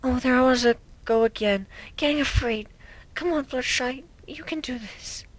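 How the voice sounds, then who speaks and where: A woman acts out a gentle, anxious young pegasus mare with a high, soft voice. She speaks hesitantly and can sink toward a whisper. It is a first-person dramatic reading of a cartoon fan story. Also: normal speed, no echo. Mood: timid, nervous. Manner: hesitant, soft-spoken, breaking into near whispers.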